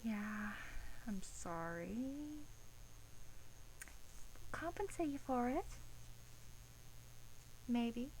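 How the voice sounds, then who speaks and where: A woman playing a bored, teasing vampire guard in a soft-spoken ASMR roleplay, coaxing in hesitant, drawn-out phrases with an undertone of menace. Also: a little high, very animated, full character voice, rough recording. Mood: coaxing, apologetic. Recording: faint background hiss.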